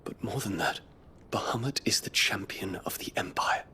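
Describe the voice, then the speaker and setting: An actor playing a troubled, dutiful young imperial prince in a video game, speaking in formal, lofty, earnest language. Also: male voice, fairly low pitch, normal speed, bright, forceful tone, in a whisper. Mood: grave, troubled. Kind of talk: dramatic video game cutscene acting.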